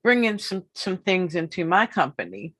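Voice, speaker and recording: A woman in her fifties hosts a casual interview. Her voice sits a little low, and she speaks slowly and emphatically, often repeating a phrase to drive it home. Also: sing-song, a bit bright, husky. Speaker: podcast host.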